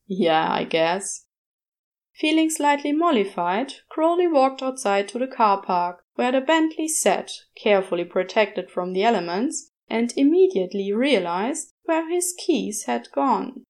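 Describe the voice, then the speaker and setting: A woman's voice: a little high, expressive, measured pace, few pauses, darkish tone. A woman reading a story aloud as scripted narration. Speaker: podfic reader.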